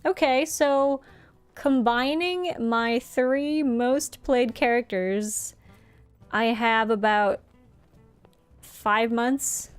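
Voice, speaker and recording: Young woman, native Norwegian speaker, streaming chat, fairly high voice, dry close sound, soft game music behind. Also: very slow, clear, resonant tone, no echo. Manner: chatty and friendly, laughs often. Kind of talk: casual live-stream chat, reading chat aloud.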